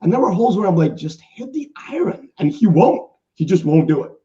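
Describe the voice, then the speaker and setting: A man with a New York accent and a raspy voice, chatting casually as a golf guest, laid-back and rambling. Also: sits high, very animated, brisk, mellow, far from the mic, muffled recording. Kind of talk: casual sports chat.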